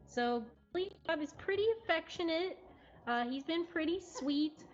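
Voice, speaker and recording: Woman, livestream host, high voice, casual and giggly delivery. Slightly muffled cafe recording, faint background music. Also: lively intonation, moderate pace. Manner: giggly, often chuckling. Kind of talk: casual live presentation and chat. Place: indoor cat cafe lounge.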